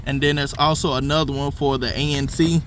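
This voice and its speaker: A man's voice: medium pitch, little pitch movement, brisk, fairly bright tone. A man talking casually and informally in slangy, swear-sprinkled speech, as a vlogger walking viewers through hands-on work. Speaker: car audio vlogger. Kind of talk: casual hands-on install vlog talk. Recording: noisy vehicle and outdoor phone-video sound.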